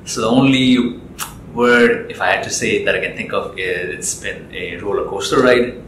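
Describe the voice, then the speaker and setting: A young man from Nepal, a guest in an interview, talking in a relaxed, rambling way with lots of fillers and restarts. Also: on the low side, normal speed, a little hiss or hum. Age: young adult. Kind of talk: casual interview chat. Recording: echoey room with loud music.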